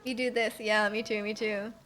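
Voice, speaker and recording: A woman live streaming a game, chatting casually with an excitable manner and often repeating her phrases. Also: medium pitch, normal speed. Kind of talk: casual live stream chat while playing. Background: game music.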